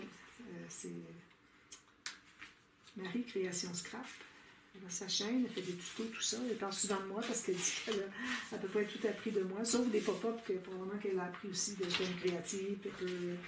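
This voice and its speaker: Québécois woman hosting a craft tutorial, chatty, recorded far off in an echoey room with loud noise behind. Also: mid-range voice. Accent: Québécois French. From Quebec, Canada. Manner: chatty, thinks aloud with many "euh" fillers and small laughs. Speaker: scrapbooking tutorial host. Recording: roomy, off-mic, with loud background noise.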